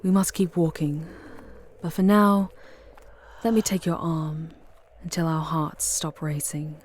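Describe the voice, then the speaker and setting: A woman's voice: deep voice, steady tone, measured pace, a little mellow. A woman playing a charming, sardonic demon guide in an ASMR audio roleplay, murmuring breathily with wry, formal phrasing. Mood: tender.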